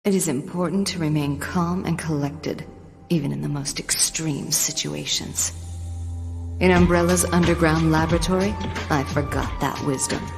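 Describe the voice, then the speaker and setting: An actress playing a composed, determined female spy in a video game, low and controlled, with deliberate introspective delivery. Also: steady tone, vocal fry, over music. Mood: cool, reflective. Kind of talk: dramatic video game cutscene monologue.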